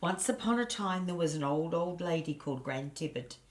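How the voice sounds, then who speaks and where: An older woman with a low voice reads a children's story aloud. Her delivery is expressive and grandmotherly, as if showing the book to young listeners. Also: moderate pace, slight background noise. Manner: grandmotherly, addresses listeners and points out pictures. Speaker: storybook reader.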